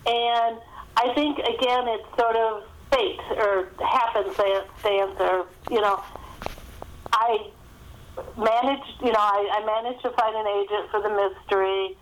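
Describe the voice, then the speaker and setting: A woman's voice: medium pitch, steady tone, unhurried, poor audio. A middle-aged woman talking conversationally as an author in an interview, chatty and anecdotal, often saying "you know".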